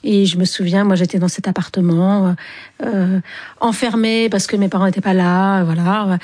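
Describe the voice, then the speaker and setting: Brief: woman in her fifties from Algeria, warm mellow tone, reflective interview guest who pauses and hesitates as she recalls. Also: medium pitch, fairly even intonation. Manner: thoughtful, pauses often with hesitant fillers. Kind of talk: reflective personal storytelling in an interview.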